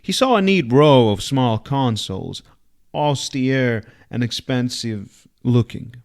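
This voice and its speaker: A man reading fiction aloud in a dramatic, expressive audiobook style. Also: mid-range voice, slow pace. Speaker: reader.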